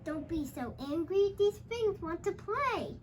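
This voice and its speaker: High-pitched child reading a story, halting. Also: expressive, a little hiss or hum. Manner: halting, repeats phrases, exclaims dialogue. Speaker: young host reading a storybook aloud.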